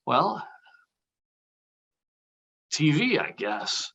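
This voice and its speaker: A man's voice: fairly high pitch, sing-song, very slow, sharp tone, breathy edge, exaggerated voice. An actor playing an anxious, sardonic older man in a comedy table read, talking in a dry, hesitant, conversational way. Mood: dry, resigned.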